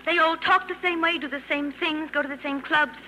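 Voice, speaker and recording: A woman's voice: high-pitched, steady tone, a little fast, lightly acted. An actress playing a spirited, restless young upper-class English woman, impulsive and earnest, in a 1950s radio drama. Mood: restless, exasperated. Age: young adult. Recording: noisy vintage 1950s radio broadcast.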